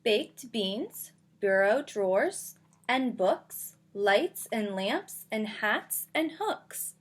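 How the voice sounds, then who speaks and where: A woman with a slightly high voice teaches young children through a read-aloud. Her pace is very unhurried. She speaks in simple, instructive language and talks straight to her young listeners. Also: animated. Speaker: kindergarten teacher reading aloud. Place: bedroom at home.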